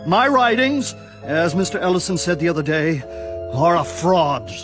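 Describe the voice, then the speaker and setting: A man's voice: a little high, moderate pace, lightly acted. A man playing an agitated novelist, emphatic and declamatory as he speaks up indignantly. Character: agitated novelist indignantly denying his monster tales. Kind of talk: dramatic character acting. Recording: background music.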